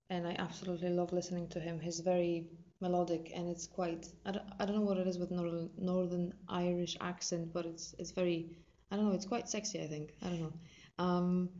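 A young woman from Poland speaks casually with a clear Polish accent, pausing often with ums and restarts. Her voice sits low and mellow with little melody. The recording sounds dull and lo-fi. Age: 20s. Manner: hesitant, many ums and restarts. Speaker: drama student. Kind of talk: casual personal talk.

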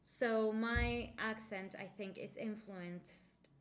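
Slow, careful young woman. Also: medium pitch, fairly even intonation. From Mexico. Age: 20s. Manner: careful, deliberate, many ums. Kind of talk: reading a passage, then casual self-introduction.

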